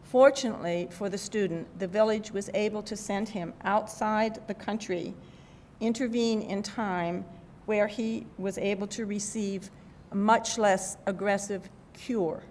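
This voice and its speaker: Older woman reading a speech, rumbly background. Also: steady tone, a little slow, darkish tone. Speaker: keynote speaker. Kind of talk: prepared speech read from notes. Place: event hall at a podium. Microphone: podium microphone. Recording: rumbly background noise, podium microphone.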